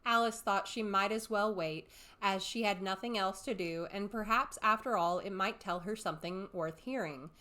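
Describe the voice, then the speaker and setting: A woman's voice: medium pitch, normal speed, hardly any pauses, sharp tone. A woman reading scripted storybook narration as an audiobook narrator.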